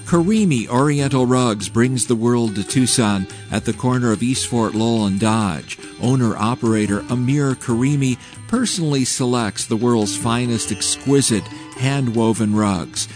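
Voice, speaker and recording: Punchy male ad announcer. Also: mid-range voice. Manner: punchy, listing. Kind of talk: scripted radio ad.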